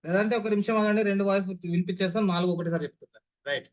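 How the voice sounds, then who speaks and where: A man's voice: high voice, fairly even intonation, racing, dull audio. A man leading an online voice modulation class over a video call, who keeps putting questions to his listeners and repeating them. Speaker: voice modulation trainer. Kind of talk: interactive online class talk.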